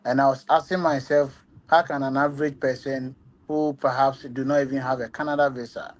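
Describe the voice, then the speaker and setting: A man's voice: medium pitch, flat delivery, a little fast. Founder guy, medium voice, earnest, lots of ums while explaining. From Ghana. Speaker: travel agency founder. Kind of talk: interview answers.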